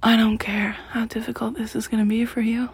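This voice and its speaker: A woman performing an intimate audio roleplay in a soft, close whisper. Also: on the high side, flat delivery, moderate pace, breathy edge.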